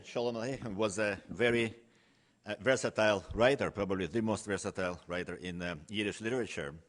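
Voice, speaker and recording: A man lecturing as a professor at a conference, partly reading from notes, with frequent hesitations. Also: lowish voice.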